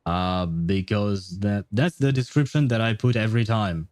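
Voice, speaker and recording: Male game streamer, mellow, dry close mic, rambling and hesitant, faint game music. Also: on the low side, normal speed, no echo. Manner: rambling, hesitant, many fillers, laughs often. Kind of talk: livestream gameplay commentary, reading chat.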